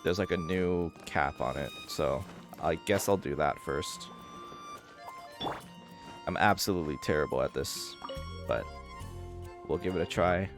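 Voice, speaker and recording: A man's voice: deep voice, measured pace, pauses often, over music. A man talking casually as he streams a video game, commenting live on what he is doing.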